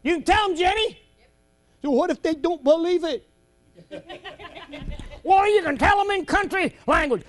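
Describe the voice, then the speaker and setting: A man preaching a folksy sermon to a congregation, joking and laughing between lines and breaking into shouts at his high points. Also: expressive, normal speed, pauses often.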